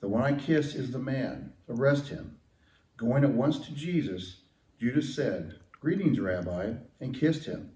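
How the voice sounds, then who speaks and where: A man's voice: mid-range voice, expressive, measured pace, dark tone. A man giving a solemn scripture reading as a church member at a service, recorded in a church. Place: large indoor church, distant mic. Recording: echoey church from far off.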